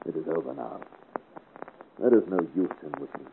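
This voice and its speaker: An actor in a 1950s radio adventure serial playing a principled, grave young musketeer with an earnest, formal manner. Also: male voice, very deep voice, animated, normal speed. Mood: somber, regretful. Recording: noisy, tinny old radio recording.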